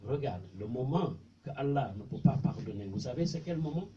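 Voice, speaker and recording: A man's voice: medium pitch, animated, a little fast, warm tone. Senegalese man preaching from a distance. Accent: Senegalese French. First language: Mandinka. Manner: conversational and repetitive, recites Arabic verses. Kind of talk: informal religious talk. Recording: distant, dull lo-fi with faint noise.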